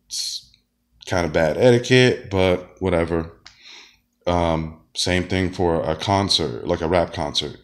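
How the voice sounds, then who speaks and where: Sick man with a very deep voice. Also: slow. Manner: rambling, many fillers and restarts. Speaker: wrestler and comedian. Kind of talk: casual monologue.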